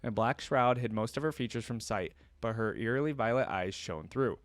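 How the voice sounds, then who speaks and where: A man reading a story aloud as a reaction host, expressive in the telling, with casual asides. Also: mid-range voice, normal speed, dry recording.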